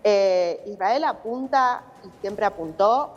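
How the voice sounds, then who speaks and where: A woman's voice: mid-range voice, expressive, slow pace. A woman with an Argentine accent, a journalist explaining a situation in a live news interview. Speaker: journalist reporting from abroad. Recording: muffled remote link, long reverberation.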